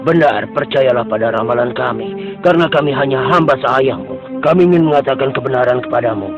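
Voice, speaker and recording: A man voicing a fawning royal astrologer in a cartoon, speaking formally and flatteringly to his king. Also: medium pitch, a little fast, natural voice. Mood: earnest. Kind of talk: cartoon dubbing. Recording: dull lo-fi sound under music.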